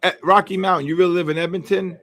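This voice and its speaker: A man with a Bronx accent hosting live market commentary, loud, exclamatory and wisecracking. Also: high-pitched, fairly even intonation, very fast. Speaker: trading show host.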